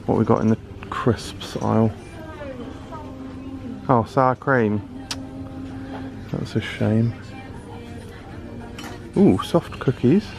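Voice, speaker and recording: A man's voice: on the low side, gravelly, poor audio. A British man vlogging his shopping trip, chatting curiously about products on the shelves. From United Kingdom. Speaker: food vlogger. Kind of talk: casual running commentary while shopping. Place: large supermarket aisles, indoors.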